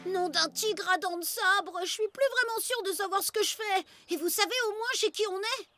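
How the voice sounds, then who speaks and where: A woman playing an excitable cartoon caveboy, boastful and easily scared, talking in dramatic exclamations. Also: very high-pitched, normal speed. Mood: boastful, unsure. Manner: exclamatory, dramatic, mock-heroic. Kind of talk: animated children's cartoon dub. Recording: loud music behind the voice.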